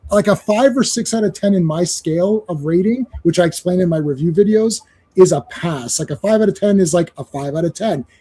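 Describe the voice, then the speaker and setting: A man's voice: high voice, brisk, breathy edge. A man whose first language is Croatian, chatting on a podcast about horror movies and laughing as he tells stories. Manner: animated storyteller, laughs often. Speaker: podcast co-host, reviewer. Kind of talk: casual podcast chat.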